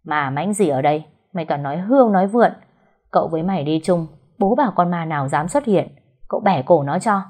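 A woman's voice: on the low side, expressive, fairly quick, darkish tone. A woman voicing a bossy, sly young master. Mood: scheming.

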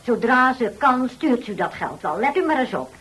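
A woman's voice: a little high, sing-song, moderate pace, a bit bright, slightly rough, exaggerated voice, rough recording. A woman acting a kind-hearted, opinionated, motherly neighbour in a radio drama, with a sympathetic and emphatic way of talking. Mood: reassuring, pitying. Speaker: radio actor.

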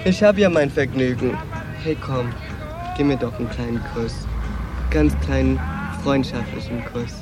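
An actor playing a sassy, world-weary transgender prostitute, flirtatious, candid and wistful, in a radio drama. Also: male voice, mid-range voice, a little slow, over loud music, natural voice. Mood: playful, wistful. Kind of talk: 1980s German radio drama acting.

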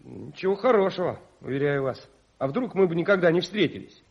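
A man's voice: fairly high pitch, animated, fairly quick, vocal fry, slightly rough, exaggerated voice. An actor playing a playful, smitten young man in a radio play, teasing and witty with some hesitation. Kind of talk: 1980s Soviet radio play acting. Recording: muffled 1980s radio drama recording.

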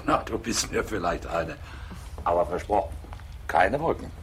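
An actor in a German radio drama playing a sly, smooth-talking swindler and husband, casual, teasing and evasive. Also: male voice, deep voice, animated, normal speed, a little hiss or hum. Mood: pleased, teasing.

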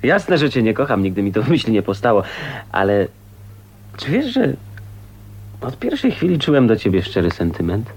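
An actor playing an easygoing, scruffy bachelor bridegroom in a radio drama, jovial and teasing, with hesitant little fillers. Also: male voice, mid-range voice, very animated, normal speed, pauses often, darkish tone, slightly rough. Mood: teasing, sincere. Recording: noisy 1980s radio recording.

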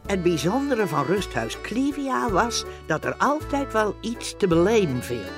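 A woman's voice: medium pitch, big pitch swings, unhurried, loud music behind the voice. An actress playing a woman who narrates her rest-home adventures as scripted storytelling in a radio comedy. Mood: amused recollection.

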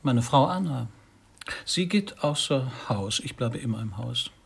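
An actor playing an anxious reclusive husband in a radio play, speaking in terse, pedantic short sentences with a husky voice. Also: male voice, mid-range voice, lively intonation, unhurried, heavily acted. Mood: stubborn, flat. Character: anxious reclusive husband afraid of crowds and insects. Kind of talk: 1990s German radio play acting.

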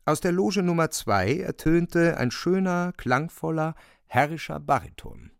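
A man's voice: on the high side, animated, unhurried, gravelly, smooth, dry recording, clean studio sound. A man narrating a radio drama in a scripted, theatrical storytelling style.

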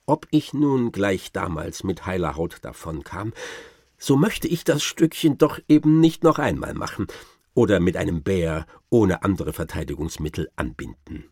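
An actor playing a boastful old-time baron, telling tall tales with ornate, dryly ironic theatrical expression in a radio play. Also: male voice, deep voice, sing-song, normal speed, a little mellow, husky, no echo, studio quality, full character voice. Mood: wry, boastful. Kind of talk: theatrical first-person storytelling in a radio play.

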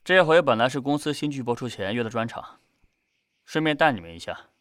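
A man playing a no-nonsense idol group manager, brisk and dryly scolding as he hands out instructions. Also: medium pitch, lively intonation, a bit bright, dry recording, studio quality, natural voice. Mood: blunt, businesslike.

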